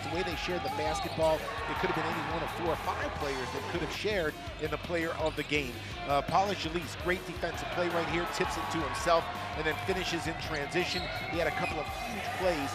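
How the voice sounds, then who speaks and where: Chatty sports color commentator over music. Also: male voice, fairly high pitch. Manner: conversational, corrects himself mid-call. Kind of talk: highlight commentary.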